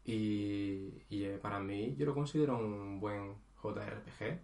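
A man's voice: on the low side, measured pace, creaky, darkish tone, reverberant. A man chatting casually about video games as a podcast co-host, hesitant and full of fillers. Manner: rambling, hesitant, many fillers. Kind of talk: casual gaming chat.

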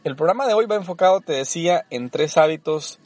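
A man's voice: on the high side, lively intonation, brisk, a little mellow, dry recording. Salvadoran man hosting a motivational podcast. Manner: earnest, coaching, with many fillers. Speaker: podcast host.

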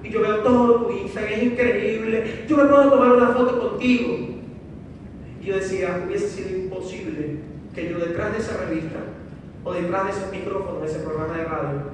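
A man's voice: high voice, fairly quick. A man with a Venezuelan accent giving an emphatic motivational speech to a live audience, repeating phrases for effect. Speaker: motivational speaker. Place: large hall with audience, crowd noise behind. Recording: echoey hall, distant mic, noisy crowd.

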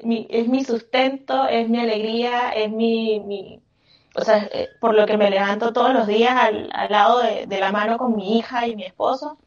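A woman's voice: a little high, fairly even intonation, normal speed. A Venezuelan woman answering interview questions conversationally, with an effusive, emphatic way of talking. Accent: Venezuelan Spanish. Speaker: podcast guest, craft business owner. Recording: echoey, distant, muffled microphone.

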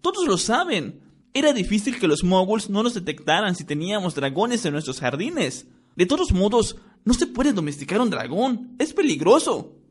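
A man's voice: sits high, sing-song, moderate pace, slightly rough, pitched above the performer's own voice, heavily acted. A man reading a story, voicing an emphatic, boastful teenage schoolboy. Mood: explaining.

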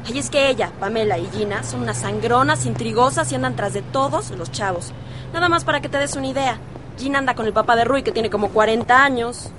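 A woman's voice: fairly high pitch, fairly quick, noisy background, lightly acted. An actress playing a chatty, gossipy teenage girl with a Mexican accent, confiding colloquially in a radio soap. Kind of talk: radio soap opera acting.

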